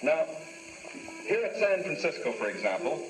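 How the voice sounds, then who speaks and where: A man reading formal, scripted 1940s newsreel narration in a measured, authoritative official tone. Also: on the high side, big pitch swings, noisy background. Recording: old 1940s newsreel soundtrack with music. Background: music score.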